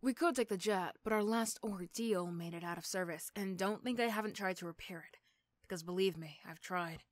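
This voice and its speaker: Woman doing a sardonic lady supervillain for asmr roleplay, mean but kinda soft underneath, teasing, really dry recording no room sound. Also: on the low side, brisk, no echo, voice pushed down, lightly acted. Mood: grudging. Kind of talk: ASMR roleplay character acting.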